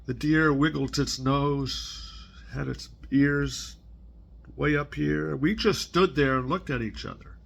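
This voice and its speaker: Older male storyteller, slow and gentle, slightly gravelly, conversational read-aloud for a small child. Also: a little high.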